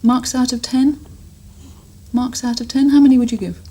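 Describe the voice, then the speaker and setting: A woman's voice: high voice, level tone, normal speed, warm tone, slightly rough. A British woman presenting on television in a chatty interview style, playfully teasing. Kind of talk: chatty studio interview with a child.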